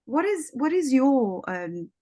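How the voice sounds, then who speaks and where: A woman's voice: high-pitched, sing-song, takes it slowly, mellow. A woman whose first language is Swahili, born in Somalia, talking casually as a guest with many fillers like "like" and "um". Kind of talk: casual conversation.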